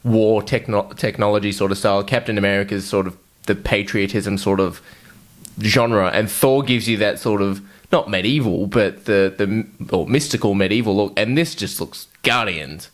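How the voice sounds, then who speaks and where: A man's voice: fairly low pitch, normal speed. A man co-hosting a podcast, chatting informally like a fan and swearing freely. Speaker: podcast co-host. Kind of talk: casual fan chat.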